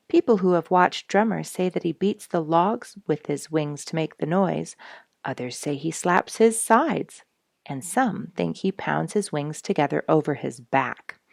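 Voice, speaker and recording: Low-voiced woman reading a children's story. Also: measured pace, vocal fry, no echo, clean studio sound. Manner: expressive, addressing young listeners directly. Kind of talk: animated storybook read-aloud.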